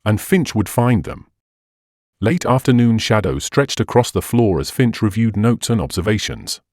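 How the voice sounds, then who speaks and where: A man reading scripted detective mystery narration in a measured, dramatic storytelling manner. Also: fairly low pitch, animated, darkish tone, no echo, clean studio sound. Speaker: audiobook narrator.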